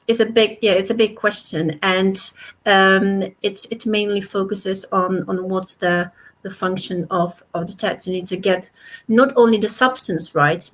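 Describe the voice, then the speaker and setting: A woman's voice: medium pitch, a bit bright. A woman translator whose first language is Polish, talking hesitantly with many fillers on a video call panel. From Poland. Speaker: translator guest. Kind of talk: casual panel discussion on a call.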